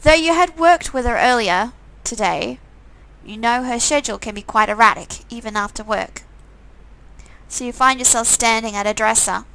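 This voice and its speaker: A woman's voice: on the high side, normal speed, a bit bright, poor audio. A woman reading a visual novel game aloud, with sarcastic, amused asides in a casual style. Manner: sarcastic, amused commenting between read lines.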